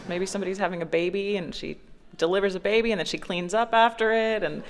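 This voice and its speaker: A young woman with a creaky voice, talking conversationally on a theatre stage. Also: mid-range voice, fairly even intonation, fairly quick. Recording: live theatre stage with hall reverb.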